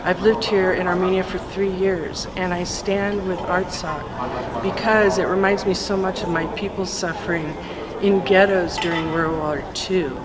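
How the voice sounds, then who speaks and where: Activist woman, lowish voice, sincere and fluent, heavy city noise behind. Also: steady tone, measured pace. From United States. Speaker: rally participant. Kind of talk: earnest appeal to camera. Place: outdoors in a city.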